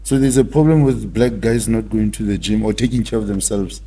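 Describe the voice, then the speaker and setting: A man's voice: fairly low pitch, fairly even intonation, a little fast, warm tone, low-quality recording. A man with a South African accent hosting a casual interview, asking questions in hesitant phrases and mixing in a local language. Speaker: talk show host.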